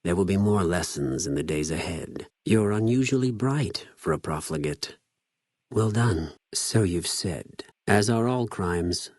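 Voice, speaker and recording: An actor playing a cold, zealous, contemptuous legion spy in a video game, speaking formally with measured condescension. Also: male voice, low voice, animated, darkish tone, no echo, clean studio sound. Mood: calm condescension. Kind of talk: scripted video game character dialogue.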